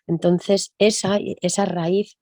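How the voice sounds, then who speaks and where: A woman music teacher talking in an interview, thinking aloud and often tagging her points with quick questions. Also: lowish voice, flat delivery, darkish tone. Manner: reflective, thinks aloud with frequent tag questions. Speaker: music teacher and percussionist guest. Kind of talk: conversational interview.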